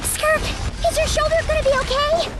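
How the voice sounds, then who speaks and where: A woman voicing a tiny, childlike fairy companion in a video game, squeaky, earnest and excitable. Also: a little fast, loud music behind the voice. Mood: worried. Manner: exclamatory, earnest. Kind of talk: animated video game dialogue.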